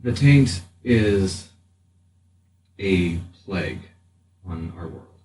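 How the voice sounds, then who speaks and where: A man playing a grave, stoic, haunted warrior in a tabletop game, speaking in a halting, formal monotone. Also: deep voice, full character voice. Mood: solemn, foreboding. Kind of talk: tabletop roleplay acting. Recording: roomy distant mic, faint hiss.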